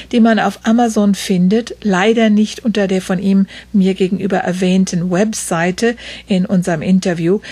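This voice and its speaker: A woman hosting a podcast, reading a prepared introduction in a measured way. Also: medium pitch, a little mellow, slightly rough, no room sound. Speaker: podcast host. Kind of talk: scripted narration.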